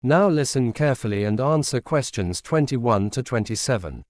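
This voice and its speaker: A man delivers scripted exam instructions in a neutral, formal way. His voice sits at a middling pitch and he speaks deliberately. The sound is dry, without any room echo. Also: lively intonation, darkish tone. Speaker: exam announcer.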